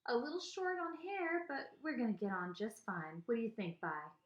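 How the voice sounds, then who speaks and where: A woman's voice: fairly high pitch, moderate pace, lo-fi sound, voice pushed up, close to an everyday voice. A woman voicing a feisty, doting old Southern grandmother in a story, folksy, bossy and affectionate, with a Southern US accent. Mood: fond, bossy. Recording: echoey room.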